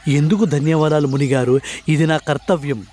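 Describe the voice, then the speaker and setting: A man voicing a sorrowful, guilt-ridden king in an animated moral story, speaking in a solemn, formal way. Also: a little high, moderate pace, slight background noise, natural voice. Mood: humble, remorseful. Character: sorrowful, guilt-ridden childless cursed king, humble and pleading. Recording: background music under the voice.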